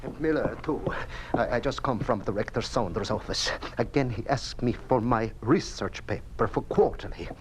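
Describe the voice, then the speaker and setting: Actor as a suspicious researcher, noisy old recording. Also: male voice, mid-range voice, normal speed, few pauses, lightly acted. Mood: suspicious, agitated. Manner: emphatic broken English. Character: skeptical foreign male researcher, uneasy and suspicious. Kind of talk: 1950s radio drama acting.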